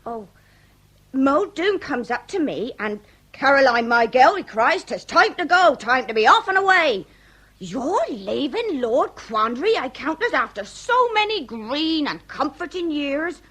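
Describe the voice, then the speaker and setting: Comic period radio drama: melodramatic working-class Victorian widow, talkative, high-pitched, very expressive range, mock-grand folksy phrasing. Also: female voice, unhurried, exaggerated voice. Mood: garrulous reminiscing. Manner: theatrical lamenting, folksy mangled grand words.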